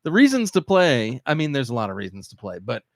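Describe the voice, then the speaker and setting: A man's voice: medium pitch, wildly swooping pitch, fairly quick, no echo, studio quality. A man co-hosting a video game podcast, chatting casually and rambling with lots of fillers and restarts. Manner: rambling, hesitant with many fillers. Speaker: podcast co-host. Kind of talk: casual chat.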